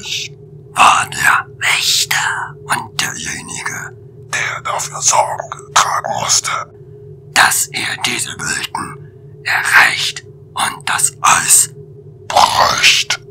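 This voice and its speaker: A man voicing an ancient, cruel otherworldly guardian in a German audio drama, in a hissing, rasping whisper with taunting, drawn-out phrases. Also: pushed and bright, full character voice. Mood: cold menace. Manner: slow, drawn-out, taunting delivery.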